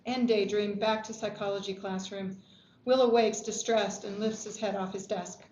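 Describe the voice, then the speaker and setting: A woman's voice: medium pitch, fairly even intonation, normal speed, a little mellow. Female stage-direction reader for a screenplay table read; roomy, slightly muffled recording. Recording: echoey, dull, faintly noisy room recording.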